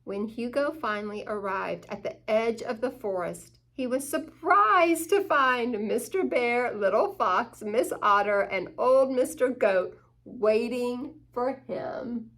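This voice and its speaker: Slow-paced woman reading a storybook. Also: fairly high pitch. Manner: expressive, slow, deliberate storytelling. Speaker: storytime reader. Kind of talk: children's picture-book read-aloud with asides to young listeners.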